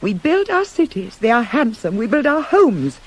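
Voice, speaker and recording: An actress playing a proud, anguished queen in a radio Greek tragedy, grave and formal, with a husky voice. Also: on the high side, big pitch swings, normal speed. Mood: grave, bitter. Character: anguished proud queen, wife and mother, fighting forbidden desire. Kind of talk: 1980s radio play acting of Greek tragedy.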